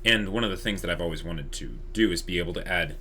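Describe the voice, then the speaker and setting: A man's voice: sits low, fast, sharp tone, a little hiss or hum. A man co-hosting a channel, talking in a casual, unscripted way. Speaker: YouTube channel co-host.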